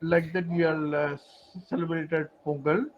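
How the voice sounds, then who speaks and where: A man from southern India, practicing English in a casual conversation, speaking haltingly in simple phrases with many pauses. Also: a little high, little pitch movement, lo-fi sound, a little hiss or hum. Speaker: English learner.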